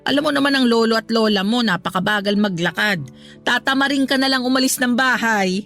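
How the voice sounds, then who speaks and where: A woman's voice: a little high, brisk, fairly bright tone, faint music behind. A woman playing a humble, caring middle-aged mother in a radio drama, talking in a frank, gossipy way. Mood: teasing, gossipy. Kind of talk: Tagalog radio drama acting.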